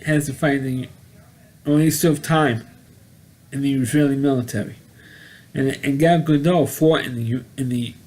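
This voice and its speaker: A man giving a casual, unscripted video review as host, rambling and halting, often repeating words and phrases. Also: medium pitch, a little mellow.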